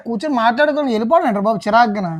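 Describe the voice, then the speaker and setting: A man's voice: very high voice, racing. A young man with a coastal Andhra Telugu accent, talking casually as he makes prank phone calls. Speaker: prank caller.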